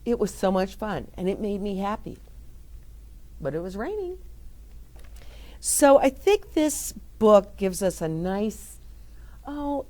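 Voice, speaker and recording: A middle-aged woman telling a story to small children, her lowish voice rising and falling with lots of life. She takes her time, speaking slowly and warmly encouraging her young listeners. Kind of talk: read-aloud story time with chat to children.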